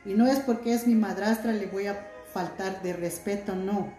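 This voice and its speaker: A middle-aged woman vlogging at home by a window, chatting warmly and reading out viewer comments, recorded on a phone on the table. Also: medium pitch, normal speed, echoey room, faint music behind. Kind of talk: casual chat to viewers.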